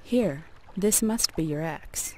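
Woman acting lake goddess, composed, formal, folk tale cartoon, slow delivery, ambience behind. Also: low-pitched, expressive, slight background noise. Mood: questioning. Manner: formal, measured.

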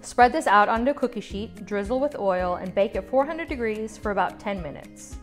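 A woman narrates a cooking lesson, walking through each step in a calm, scripted voice-over. Her pitch sits in the middle, and light music plays quietly beneath her. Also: normal speed. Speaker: cooking instructor. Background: soft background music.

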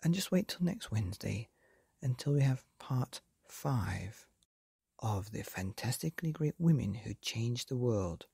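A man hosting a bedtime story podcast, reading aloud in a soft, breathy half-whisper that lilts gently as he goes. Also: medium pitch, lively intonation, dry recording, studio quality. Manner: slow, gentle and lilting.

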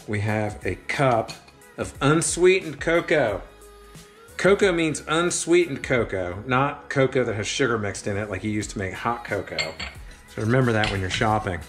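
A middle-aged man hosting a home cooking show, talking upbeat and chatty straight to the camera as he demonstrates a recipe in a large home kitchen. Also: mid-range voice. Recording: echoey kitchen, soft background music.

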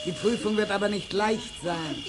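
A woman's voice: medium pitch, moderate pace, natural voice. A woman playing a stern, imperious head witch who thunders with authority in a children's radio play. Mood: stern, measured. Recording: old radio play record with clear noise.

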